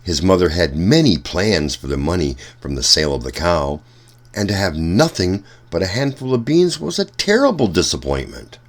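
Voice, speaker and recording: Deep-voiced, expressive male storytime reader. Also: moderate pace. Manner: expressive, addresses young listeners. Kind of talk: children's storybook narration.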